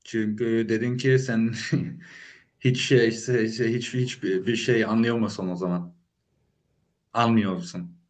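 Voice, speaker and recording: A man's voice: lowish voice, fairly even intonation, a little slow. A man on a video call, chatting casually and playfully quizzing his partner with questions. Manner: playful, testing the other with questions. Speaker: polyglot video host. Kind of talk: casual chat.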